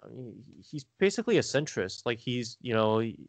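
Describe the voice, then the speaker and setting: A man telling family stories casually as a podcast guest, halting and rambling, saying "like" often. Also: medium pitch, sing-song, no room sound. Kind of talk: casual conversational storytelling.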